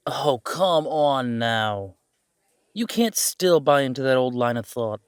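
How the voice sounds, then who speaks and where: A sardonic, rebellious young werewolf son, played by a man in an audio drama. His medium-pitched male voice is wry and skeptical, recorded dry with no room sound. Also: lively intonation, slow, clean tone, no room sound. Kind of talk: audio roleplay drama acting.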